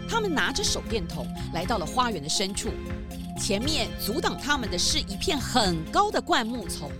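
A woman's voice: a little high, very animated, normal speed, music in the background. A woman narrating a children's mystery drama in a scripted storytelling style.

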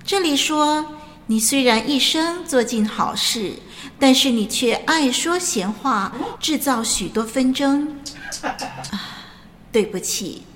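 A woman's voice: high voice, expressive, takes it slowly, fairly bright tone, long reverb tail, slight background noise, exaggerated voice. A woman playing a stern, commanding angel who questions souls at heaven's gate in an audio drama. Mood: measured, judging. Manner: commanding, interrogating.

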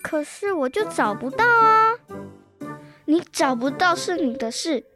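A woman's voice: very high-pitched, very animated, measured pace, music in the background, full character voice. A schoolgirl acting a whiny, indignant young sister in a children's radio drama. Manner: whiny, protesting.

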